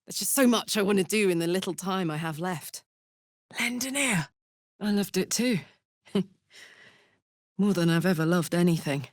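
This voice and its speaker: A woman's voice: lowish voice, lively intonation, moderate pace, long pauses, dry recording, studio quality, close to an everyday voice. A woman playing a brash, big-hearted warrior in a fantasy video game, speaking bluntly, earnestly and expressively. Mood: wistful wonder.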